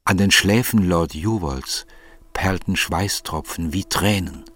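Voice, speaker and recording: A man's voice: deep voice, slow pace, fairly bright tone, no echo, far from the mic. A man reading scripted literary narration as the narrator of a drama.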